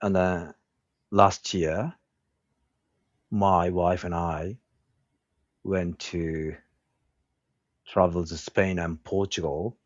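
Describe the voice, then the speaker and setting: A middle-aged man with a Japanese accent and a very low voice, answering interview questions slowly and with care. He pauses often to find his English words. The sound is dry and a little dull. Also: fairly even intonation, no echo. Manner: slow, halting, searches for words. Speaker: guest, woodworking craftsman and teacher. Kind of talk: casual interview conversation.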